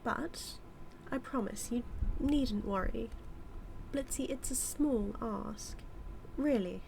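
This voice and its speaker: A British-accented woman playing a smug, manipulative evil magician in a scripted audio roleplay, teasing and taunting with deliberate, drawn-out phrasing. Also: sits high, gravelly, darkish tone, a little hiss or hum, full character voice. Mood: teasing, amused.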